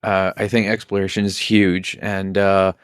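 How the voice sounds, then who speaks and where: Guy guest on gaming chat, lowish monotone voice, dry sound. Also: unhurried, clear, resonant tone, no room sound. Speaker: panel guest.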